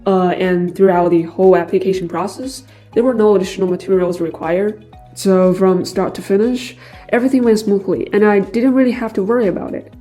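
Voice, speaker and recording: A woman dubbing the voice of a male immigrant client in an interview, speaking calmly with frequent "uh" fillers. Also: medium pitch, a little fast, natural voice. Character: middle-aged male immigrant client, calm businessman. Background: faint background music.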